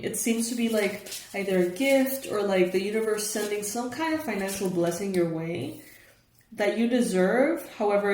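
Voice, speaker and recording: A young woman reads tarot for her viewers in a hoarse, raspy morning voice. She chats casually, with fillers and the odd soft laugh. The room is echoey with a faint hiss. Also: medium pitch. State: hoarse, just woke up. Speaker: tarot reader, channel host.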